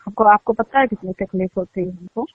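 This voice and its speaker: A woman's voice: medium pitch, a little fast, slightly rough, no echo. A woman on an emotional personal phone call, speaking in an accusing, hurt and insistent way. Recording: muffled narrowband phone-line call. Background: phone call recording.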